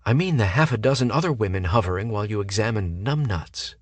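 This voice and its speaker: A man voicing a sharp-tongued, sarcastic young woman with a mocking way of talking. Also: on the low side, animated, quick pace, no room sound. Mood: sassy. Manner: sassy, mocking.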